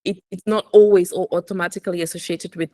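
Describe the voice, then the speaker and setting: A woman's voice: on the low side, steady tone, fast talker, warm tone, no echo, studio quality. A woman working as an English teacher in a one-to-one lesson, instructing, correcting and enunciating words for repetition. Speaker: English teacher coaching aviation English.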